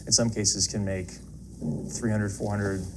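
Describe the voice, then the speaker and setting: A man working as a reporter, asking measured interview questions with halting, careful phrasing. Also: lowish voice, flat delivery. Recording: clearly noisy interview room sound.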